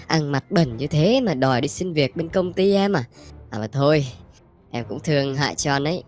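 A man acts out a young company boss who sneers and talks down to everyone. The delivery is theatrical cartoon comedy, mocking and self-satisfied. Soft music plays behind. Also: on the high side, expressive, moderate pace, natural voice. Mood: smug, mocking. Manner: condescending, theatrical. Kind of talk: amateur animated comedy skit dub. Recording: background music under the voice.

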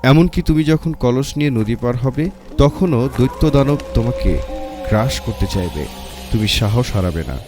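A man's voice: medium pitch, animated, moderate pace, music in the background, lightly acted. A man voicing a grateful talking tree in a children's storybook tale, pleading and cautioning. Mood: urging, cautioning. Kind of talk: storybook character voice.

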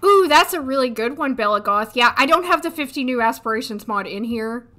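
A woman in her thirties, hoarse today, chatting casually and thinking aloud as she streams a life-sim game from a desk at home. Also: fairly high pitch, animated, brisk, pushed and bright. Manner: chatty, thinking aloud, frequent little laughs. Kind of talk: casual gameplay commentary. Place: home room, seated at desk.

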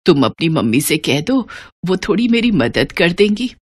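Lady doing a meek weary old granny from a cartoon story, gentle and plaintive. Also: lowish voice, sing-song, moderate pace, a little hiss or hum. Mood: distressed, pleading. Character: weary, meek, suffering old mother-in-law.

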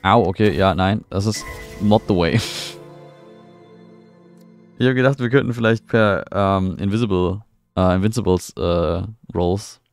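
A middle-aged man streams a game from home, with a very deep, gravelly voice. He rambles, talks to his chat and sounds easily puzzled by what happens on screen. Soft game music plays underneath. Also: steady tone, a little slow, dry recording. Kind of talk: casual live gameplay commentary. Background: faint game music.

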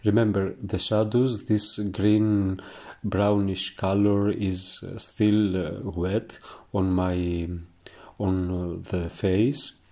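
A man from Greece with a thick Greek accent, a painting teacher talking through his work in a halting way and often searching for words. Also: sits low, level tone. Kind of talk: instructional voice-over while painting.

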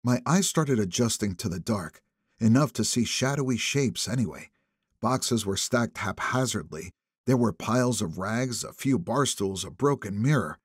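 A man narrating a thriller audiobook in the first person, with expressive, suspenseful phrasing. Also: fairly low pitch, normal speed, slightly rough, no room sound, clean studio sound.

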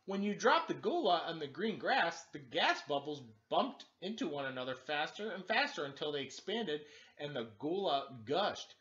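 Expressive man reading to children, lively pitch. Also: moderate pace, highs cut off. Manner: expressive, exaggerated emphasis for children. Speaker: teacher reading a children's book aloud. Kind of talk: animated children's storybook reading. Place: living room, indoors.

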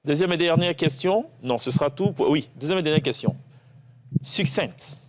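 A man's voice: a little high, animated. A young man hosting a televised spelling quiz in a studio, teasing contestants and drawing out questions. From Côte d'Ivoire. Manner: playful, teasing, drawn-out questions. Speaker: game show host. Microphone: lapel mic.

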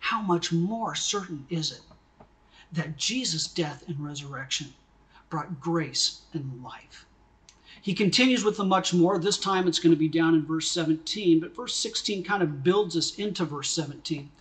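A man's voice: on the high side, normal speed. A middle-aged man preaching a sermon from his home study, teaching in a conversational way, asking questions and gesturing as he explains. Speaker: pastor. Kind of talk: sermon recorded at home for video.

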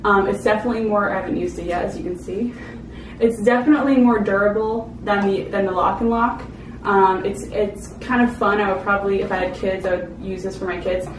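A woman's voice: mid-range voice, fairly even intonation, a little fast. A young adult woman giving a casual, chatty product review to camera in an informal way. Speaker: food vlogger reviewing products. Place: small indoor room, seated at a table. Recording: roomy, distant mic with clear background noise.

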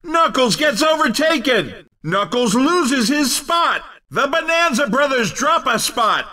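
A man voicing an excitable commentator in a cartoon racing video game, calling the action in short, punchy exclamations. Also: very high-pitched, slow, recorded from a distance, exaggerated voice. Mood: excited, teasing. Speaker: race commentator.

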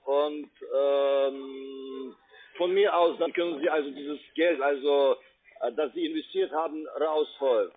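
A non-native German speaker, a man with a foreign accent, making a halting, repetitive sales pitch. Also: steady tone. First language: not German. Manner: halting, repetitive, tag-question fillers. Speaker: call-centre caller pitching investments. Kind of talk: sales pitch on a phone call. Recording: tinny narrowband phone line.

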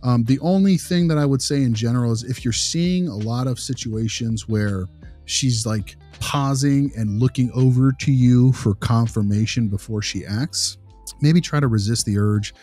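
A man's voice: mid-range voice, moderate pace, a little mellow, faint music behind, lightly acted. A man playing an easygoing behavior consultant, talking informally and reassuringly in a role-played meeting with a parent.

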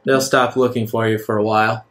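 A man voicing a self-justifying, measured villain in his nineties, a wealthy recluse, in a tabletop game. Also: fairly low pitch, little pitch movement, a bit bright, close to an everyday voice. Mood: persuasive, calm.

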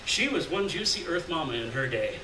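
Man playing crude beatnik drifter, boisterous, raised pitch, slangy, live story reading, distant mic. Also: very animated, a little fast, pushed and bright. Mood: boisterous, teasing. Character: rowdy, crude, hard-drinking male beatnik drifter. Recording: roomy, distant mic, faint noise.

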